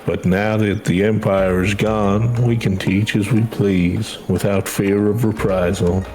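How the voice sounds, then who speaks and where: Man voicing a low-pitched, measured older schoolteacher. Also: level tone, darkish tone, natural voice. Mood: solemn, proud. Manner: measured, formal storytelling delivery. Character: earnest older schoolteacher devoted to tradition. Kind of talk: reading video game dialogue aloud. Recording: music under the voice.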